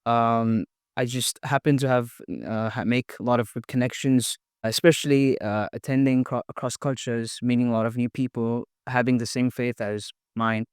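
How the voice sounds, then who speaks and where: A young man from India speaks in a smooth, clear voice, recorded close and dry with no room sound. He talks casually and haltingly, full of ums and restarts, as he tells his story to a podcast host. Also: medium pitch, flat delivery, no room sound. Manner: hesitant, many fillers and restarts. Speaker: podcast guest. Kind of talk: casual interview, sharing personal story.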